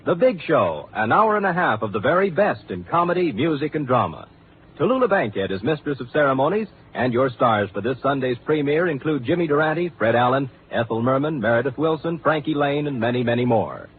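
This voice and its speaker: A man's voice: medium pitch, sing-song, brisk, slight background noise. An American man working as a network announcer, reading a promo in 1950s radio style. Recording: narrow 1950s radio broadcast sound.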